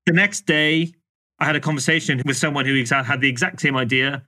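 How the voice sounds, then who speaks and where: A man's voice: fairly high pitch, steady tone, fast, pushed and bright. A man speaking as a podcast guest in a casual, musing tech discussion.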